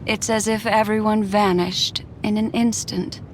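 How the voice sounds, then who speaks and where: An actress playing a quiet, perceptive young woman in a video game, speaking slowly in a hushed, observant way. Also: medium pitch, flat delivery, slight background noise, lightly acted, poor audio. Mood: wary. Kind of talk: game cutscene voice acting.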